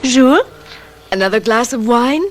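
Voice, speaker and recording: A woman's voice: a little high, lively intonation, moderate pace, faint music behind, close to an everyday voice. An actress playing an emotional, coquettish young Frenchwoman in an old radio drama, given to melodramatic exclamations. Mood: playful, teasing.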